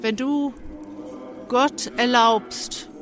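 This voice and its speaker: A speaker with a cold gives a testimony at a church service, slowly and with care. The delivery halts often, with phrases begun again, and then builds to fervent emphasis on key points. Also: mid-range voice, fairly even intonation, music in the background. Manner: halting, with frequent restarts and pauses. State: has a cold.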